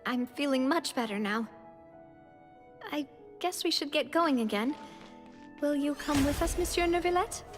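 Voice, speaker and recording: Woman doing soft-mannered young rpg girl, high voice, big reverb on it, music behind. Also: normal speed, long pauses, lightly acted. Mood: apologetic, gentle. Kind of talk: video game character acting.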